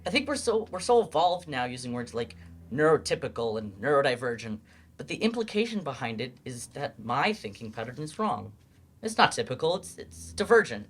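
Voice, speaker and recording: Halting, swooping, guarded male student played by a man. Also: mid-range voice, very animated, a bit bright, breathy edge, heavily acted. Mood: resigned, frustrated. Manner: halting, earnest, explanatory. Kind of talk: staged reading of a play.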